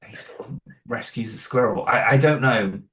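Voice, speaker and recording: A man's voice: mid-range voice, moderate pace, a bit bright, reverberant. A man, creator of a horror audio series, answering interview questions casually and hesitantly, with many restarts and fillers.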